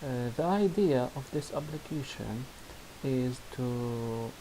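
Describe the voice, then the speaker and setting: A man with a Syrian Arabic accent walks through a software demo at a slow, careful pace, often restarting his sentences in non-native English. His voice is mellow and medium in pitch. A faint hiss runs under the recording. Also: rough recording. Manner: halting, many restarts and fillers, non-native grammar. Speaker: student presenting a project.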